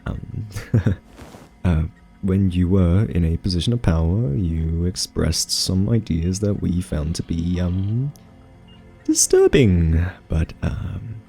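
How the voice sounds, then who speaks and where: A man playing a quirky scientist in an ASMR roleplay, soft-spoken and hesitant, gently patronizing as he coaxes a captive AI. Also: deep voice, sing-song, gravelly, soft background music, heavily acted. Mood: cautiously persuasive. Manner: hesitant, halting, politely condescending.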